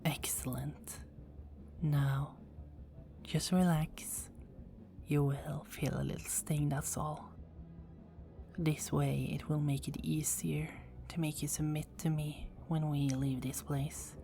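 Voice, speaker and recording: A woman's voice: sits low, little pitch movement, slow pace, gravelly, mellow, heavily acted. A woman voicing a seductive, dominant female vampire in an ASMR roleplay, speaking in teasing, close whispers.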